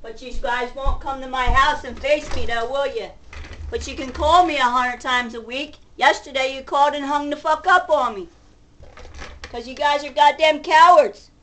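A middle-aged woman with a high voice rants in an agitated, sarcastic way. She talks casually and combatively, swearing freely. It is a homemade webcam recording from a kitchen, with some background noise. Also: moderate pace, sharp tone. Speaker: vlogger. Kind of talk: confrontational ranting to camera, casual. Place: home kitchen, indoors. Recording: noisy home webcam recording.

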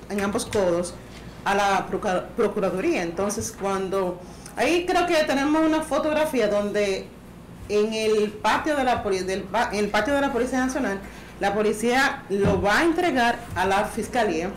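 Woman around 30s on a studio panel, a bit high voice, stumbles and repeats herself, roomy echo. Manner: hesitant, repeats words and restarts. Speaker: panel commentator. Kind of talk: talk show commentary. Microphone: boom studio mic. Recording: roomy studio, faint noise.

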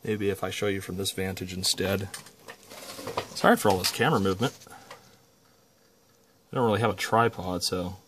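A man with a fairly low voice walks viewers through a workshop job. He speaks casually and without a script, in a folksy way, as if talking himself through each step. He is recorded indoors on a phone camera. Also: steady tone, moderate pace, long pauses, fairly bright tone, a little hiss or hum. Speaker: repair tutorial presenter. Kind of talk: casual unscripted hands-on demonstration. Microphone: handheld phone camera.

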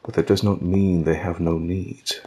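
A man playing a patient, gentle older king in an audio drama, with deliberate, formal diction. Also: sits low, level tone, husky. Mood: patient, explaining.

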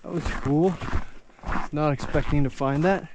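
A young man talking to himself and his camera while skiing the backcountry, casual and relaxed, recorded outdoors on an action camera. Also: on the high side, measured pace, noisy background. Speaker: ski vlogger. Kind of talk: casual vlog narration. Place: outdoors on snowy mountain slopes. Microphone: action camera on body or car. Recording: music playing under the voice.

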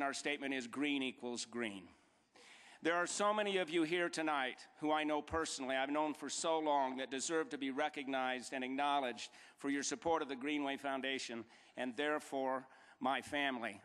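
A man's voice: fairly high pitch, fairly bright tone. A middle-aged man giving a formal acceptance speech from a podium, building emphatic rhetorical lists and repeated dates. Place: large banquet hall stage. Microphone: podium microphone. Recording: long reverb of a large hall.

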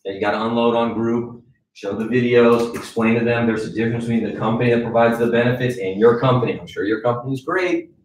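A man in his forties leading a live sales training in a conference room, with direct, animated coaching and frequent check-ins. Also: medium pitch, fast, slight background noise. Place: conference room with a wall screen. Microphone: far camera. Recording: echoey room from far off.

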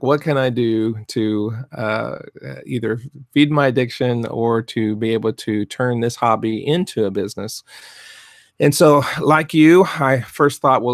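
A middle-aged man, soft-spoken and rambling with many fillers, coaching art teachers in a casual live stream from his home studio on a desk mic. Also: mid-range voice, gravelly, no room sound. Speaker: fluid art business coach. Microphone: desk mic in front of webcam.

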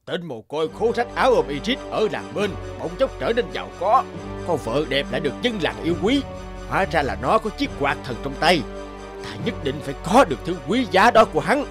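A man's voice: a little high, big pitch swings, fairly quick, music in the background. A man voicing an envious, greedy landlord villain in a cartoon, scornful and scheming. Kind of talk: cartoon dialogue.

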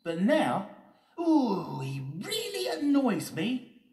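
A man's voice: high voice, huge pitch swings, slow, darkish tone, roomy sound, voice pushed up, exaggerated voice. A man voicing an earnest, anxious but plucky lorry in a children's story. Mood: annoyed.

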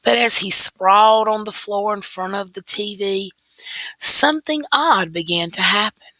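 A woman teacher reading a story aloud slowly and deliberately into a computer microphone. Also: mid-range voice, pushed and bright, no echo, low-quality recording. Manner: slow, deliberate read-aloud.

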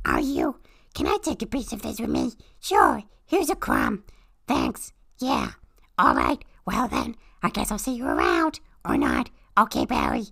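A woman putting on a raspy, strained, squawky cartoon creature voice to read out a script, playing every part. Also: medium pitch, fairly bright tone. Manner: exaggerated, cartoonish. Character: Toad, small squawky excitable cartoon mushroom creature. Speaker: performer reading a film script aloud. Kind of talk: character reading in a put-on voice, playing every part.

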